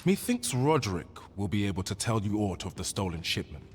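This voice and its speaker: Courteous fantasy game guild clerk, lowish voice, formal archaic wording, apologetic, long hall reverb. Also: male voice, sing-song, fairly quick. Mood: courteous, helpful. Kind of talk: scripted fantasy game dialogue. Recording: long hall reverb, noisy ambience behind.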